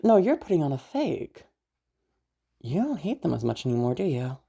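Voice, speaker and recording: A woman playing a friendly young woman with a hidden obsessive side, in roleplay audio addressed to the listener, with a husky, hesitant delivery. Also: low voice, big pitch swings, moderate pace, mellow, full character voice. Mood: teasing, reassuring.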